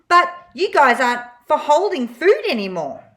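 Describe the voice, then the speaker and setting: A woman's voice: high-pitched, sing-song, moderate pace, bright, forceful tone, pitched above the performer's own voice, full character voice. A woman voicing an earnest, matter-of-fact spork toy in a slightly raised version of her own voice. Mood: puzzled. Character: earnest young spork toy, puzzled then rallying.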